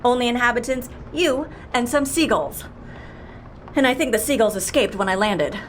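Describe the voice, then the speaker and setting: Woman acting a chatty, blunt lady pilot, higher-pitched. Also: moderate pace, slight background noise, lightly acted. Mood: chatty, teasing. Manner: talkative, wry, animated. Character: brisk, chatty, blunt female death-faking agent and pilot. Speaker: actor in an audio fiction series. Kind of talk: audio drama dialogue.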